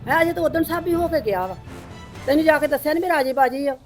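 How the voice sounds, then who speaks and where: A woman acts out a stubborn, scolding middle-aged mother-in-law in a homemade family skit. Her voice is high and her talk is sharp and dismissive, with faint music playing behind. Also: quick pace, lightly acted.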